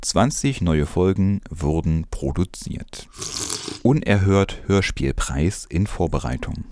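A low male voice in a very dry recording presents scripted news. He reads formally and carefully, at a measured gait, as a presenter would. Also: darkish tone, dry recording.

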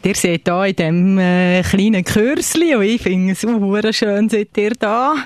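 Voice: medium pitch, unhurried, dry recording, natural voice. An actor playing a folksy female tour guide with a Swiss German accent, addressing tourists in a live radio play. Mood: folksy, welcoming.

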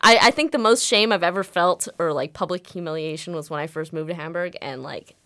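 A young American woman hosting a radio show in casual chat, animated, laughing often and swearing freely. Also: lowish voice, fairly quick, dry recording, studio quality. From United States.

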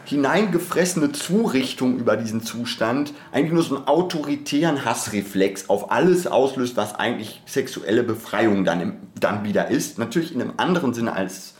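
A man's voice: mid-range voice, animated, moderate pace, roomy sound. A man speaking off the cuff in a podcast discussion, in an academic, wordy way, often breaking into his own sentences with asides. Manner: academic, wordy, digressive with frequent self-interruptions.

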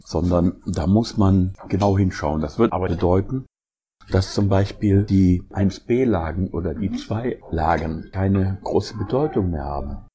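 A middle-aged man from Essen, a professor of economic geography, answering interview questions in a measured, explanatory way. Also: low voice, dark tone, slight background noise. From Essen, Germany.

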